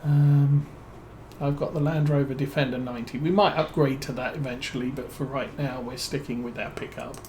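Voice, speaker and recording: A man with a British accent giving relaxed let's-play commentary as a streamer, musing and thinking aloud with plenty of fillers. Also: medium pitch, moderate pace, slight background noise. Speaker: game streamer.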